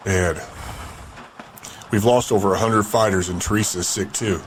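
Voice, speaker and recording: Man playing town leader, lowish voice, firm, decisive. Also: steady tone, moderate pace, slight background noise, lightly acted.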